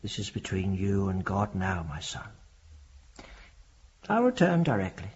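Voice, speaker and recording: An actor playing a devout, fatherly reverend with a grave, deliberate, priestly manner in a radio drama. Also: low voice, big pitch swings, a little mellow, muffled recording, slight background noise. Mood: quiet, firm.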